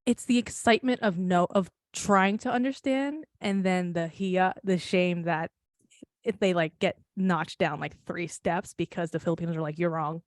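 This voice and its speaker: A woman's voice: on the low side, steady tone, normal speed, dry recording. Rambling young woman, dry sound. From United States. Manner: rambling, laughs often, swears, lots of "like". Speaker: podcast co-host. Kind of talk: casual chat.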